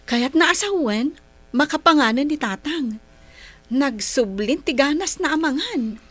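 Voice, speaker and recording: A dutiful Filipino daughter in a radio drama. Her voice is fairly high and unhurried, respectful, with a gentle pleading manner. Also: animated. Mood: concerned.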